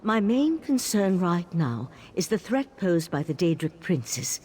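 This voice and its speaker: An actress playing a troubled, sardonic mage in a video game, speaking in a weary, confiding way. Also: female voice, lowish voice, sing-song, a little slow, dark tone, a little hiss or hum. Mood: worried, resigned. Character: troubled, sardonic female Psijic mage, grieving mother. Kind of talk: video game character dialogue. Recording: game music behind.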